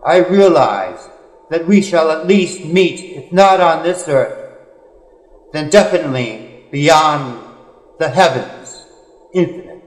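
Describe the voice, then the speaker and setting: A man reading poetry in a solemn, dramatic style, with earnest, measured phrasing and drawn-out pauses. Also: fairly high pitch, expressive. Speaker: poet. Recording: echoey, long hall-like reverb.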